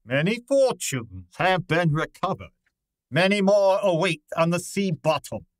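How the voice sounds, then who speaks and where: An actor playing a pompous, cantankerous gourmet detective in a radio drama, with imperious, formal diction. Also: male voice, high-pitched, big pitch swings, unhurried, no echo. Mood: haughty, brusque. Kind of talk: old-time radio drama acting.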